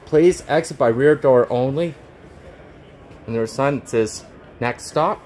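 A Canadian man filming a casual tour of buses on display, excited and halting, rattling off model details with restarts. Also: mid-range voice, slight background noise. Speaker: transit vlogger. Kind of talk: casual touring vlog. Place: bus display in a convention centre, indoors.

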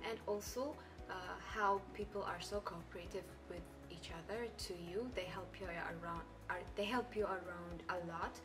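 A young woman from Ethiopia, a university student, talking casually with lots of fillers. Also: mid-range voice. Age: young adult. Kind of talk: interview answers to camera. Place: indoor studio corner. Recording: voice a bit far from the mic, music bed.

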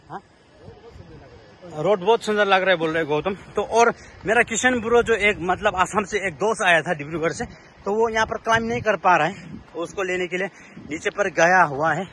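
A man's voice: high voice, pushed and bright, a little hiss or hum. A young man in his twenties chatting casually into his phone camera, narrating as he climbs a rocky hilltop outdoors. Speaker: vlogger.

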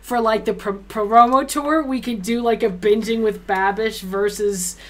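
A woman's voice: a little high, steady tone, measured pace. Effusive, chatty woman co-hosting a chat. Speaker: livestream co-host, interviewer. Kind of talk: casual interview chat. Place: small room at home, indoors.

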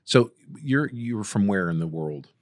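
A man's voice: on the low side, animated, normal speed. A man hosting a podcast interview, chatty and joking, with enthusiastic questions. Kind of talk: casual interview.

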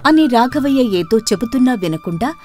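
A woman's voice: on the high side, animated, moderate pace, a little mellow. A woman narrating a scripted storybook tale as its narrator. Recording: music under the voice. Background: background music.

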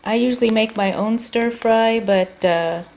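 A woman vlogger casually talking through her grocery haul at home, unhurried with frequent ums. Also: a little high, creaky, a little mellow, slight background noise. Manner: chatty, unhurried, many ums. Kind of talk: casual food haul narration. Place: home kitchen table.

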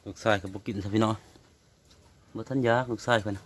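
A father with an Isan accent, chatting casually to viewers while eating at home, in a relaxed, drawling way. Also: on the low side, fast. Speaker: father and vlog host. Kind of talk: casual eating vlog chat. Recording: noisy outdoor phone recording.

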